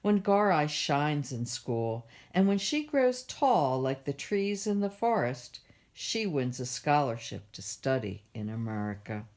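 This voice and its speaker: A woman reads a picture book aloud for children at a library storytime. Her voice sits low, and she takes her time with each phrase. The delivery is measured and expressive, in the style of a storyteller.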